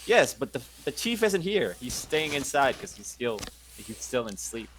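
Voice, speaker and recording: A man's voice: mid-range voice, big pitch swings, normal speed, a bit bright, a little hiss or hum. A man playing a tabletop roleplaying game, switching between in-character talk and bossy, teasing banter.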